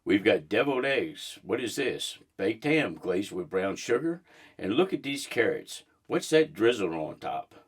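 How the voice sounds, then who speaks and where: A man's voice: on the low side, sing-song, measured pace, husky, heavily acted. A man voicing a delighted older male dinner guest in a children's storybook, relishing and exclaiming over things. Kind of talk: storybook read-aloud.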